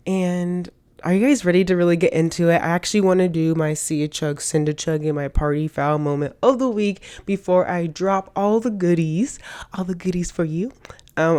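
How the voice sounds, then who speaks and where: A young woman hosts her solo podcast in a bubbly, gushing way, tossing out hype-girl slang to her listeners. Her voice sits a bit low for a woman and sounds slightly stuffed up from a cold. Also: darkish tone, clean tone. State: congested from a cold. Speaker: podcast host. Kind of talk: upbeat solo podcast monologue.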